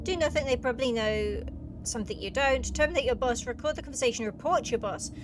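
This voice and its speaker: A woman's voice: high voice, quick pace, noisy background. A woman giving casual game commentary and reading on-screen text aloud as a video host. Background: clear music.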